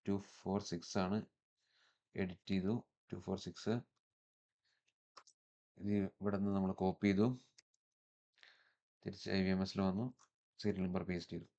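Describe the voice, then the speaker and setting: A man with a Malayali accent presenting a step-by-step software tutorial in an instructional manner, mixing in English technical terms. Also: deep voice, level tone, normal speed, vocal fry, long pauses, highs cut off.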